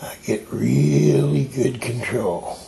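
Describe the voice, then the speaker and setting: An older man with a raspy, deeply gravelly voice walks through a craft technique as he does it. He talks in an unhurried way, explaining each step while he works. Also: dark tone, a little hiss or hum. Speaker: tie-dye hobbyist demonstrating. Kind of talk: slow hands-on craft demonstration.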